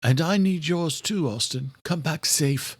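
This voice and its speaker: A man's voice: fairly high pitch, measured pace, slightly rough, no room sound, pitched above the performer's own voice. A man voicing an earnest, worried doctor in a thriller audiobook, explaining with care and loyal concern. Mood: fond. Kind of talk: horror thriller audiobook character.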